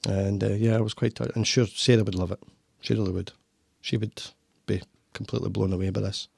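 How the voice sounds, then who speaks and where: A Scottish man hosts a relaxed podcast chat, rambling and restarting his sentences. His voice has a gravelly rasp, and the studio sound is dry and close. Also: fairly low pitch, fairly quick, no room sound. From Scotland. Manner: warm, rambling, talks with frequent restarts. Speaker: podcast host. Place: home studio.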